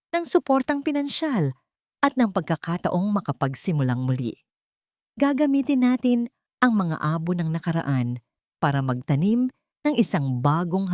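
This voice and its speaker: A woman's voice: sits low, big pitch swings, moderate pace, a little mellow, dry recording, studio quality. Woman narrator as a firm, resolute woman executive. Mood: resolute, hopeful. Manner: firm, authoritative. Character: determined, compassionate young mother turned company CEO.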